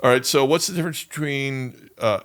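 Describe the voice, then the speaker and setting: A man hosting an interview, speaking in a measured, emphatic way and repeating his key points. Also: mid-range voice, fairly bright tone. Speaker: podcast host and interviewer. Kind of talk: conversational interview.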